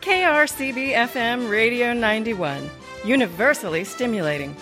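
Animated high-voiced woman promo announcer. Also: normal speed. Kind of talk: scripted radio promo tagline. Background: music.

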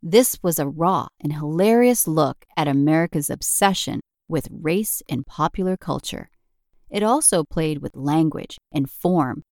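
A woman reading scripted podcast narration in a measured, articulate, formal way. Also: low-pitched, a little mellow, no room sound, clean studio sound.

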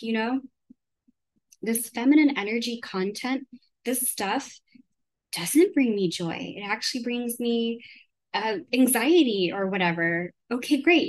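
A woman's voice: on the high side, no room sound, poor audio. Chatty woman host, a bit stuffy. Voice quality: slightly raspy. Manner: earnest, chatty, often asks "right?". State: congested from allergies. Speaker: podcast host. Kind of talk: casual solo podcast talk.

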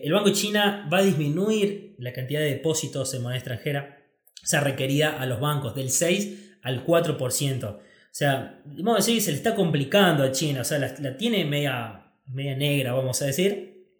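A man with an Argentine Spanish accent co-hosting a casual finance chat, relaxed, full of fillers and restarts. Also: on the high side, moderate pace, reverberant. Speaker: co-host of a finance show.

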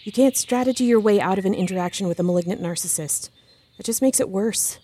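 An actress playing a calm, supportive counselor friend in an audio drama, coaxing in a measured, soothing manner. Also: female voice, medium pitch, slight background noise, natural voice. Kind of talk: fiction podcast audio drama acting.

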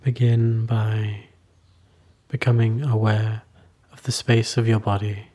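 A man leading a guided meditation as a teacher, soothing and unhurried, with long pauses between short phrases. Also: fairly low pitch, little pitch movement, dark tone, slightly rough.

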